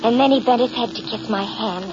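Actress as brassy high-voiced American dame, old radio. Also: female voice, a little fast, close to an everyday voice. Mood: confiding narration. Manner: slangy, ungrammatical, familiar talk. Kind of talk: 1940s-50s radio drama acting, with first-person narration. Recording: noisy, muffled old radio recording, heavy hiss.

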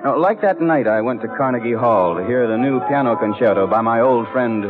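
A man's voice: medium pitch, expressive, fairly quick, lightly acted. A man playing a wry, world-weary adventurer, narrating a radio mystery in the first person. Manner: dry, wry. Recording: tinny vintage radio with loud music.

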